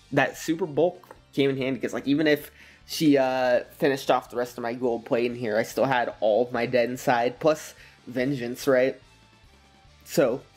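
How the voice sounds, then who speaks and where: A slightly hoarse man giving relaxed, rambling gameplay commentary, full of fillers and soft chuckles. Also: medium pitch. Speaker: gaming commentator.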